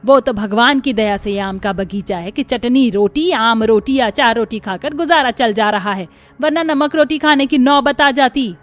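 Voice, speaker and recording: A woman's voice: on the high side, a little slow, natural voice. A woman playing an exasperated older village wife and mother-in-law in a cartoon story, scolding and complaining. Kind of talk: Hindi cartoon story dialogue.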